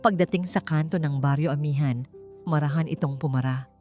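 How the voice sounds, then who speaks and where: A woman with a Filipino accent narrating a story in a measured, dramatic, suspenseful audiobook style. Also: low-pitched, mellow, faint music behind.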